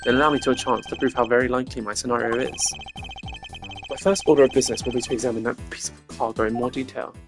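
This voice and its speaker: A man voicing a composed, analytical prosecutor in a video game, with a measured, formal and dryly superior delivery. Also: medium pitch, fairly even intonation, music in the background, natural voice. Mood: methodical.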